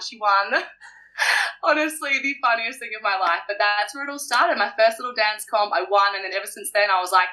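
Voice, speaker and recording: Bubbly bright-voiced young woman. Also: medium pitch, low-quality recording. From New Zealand. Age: young adult. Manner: bubbly, giggly, gushing. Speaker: guest. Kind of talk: casual chat.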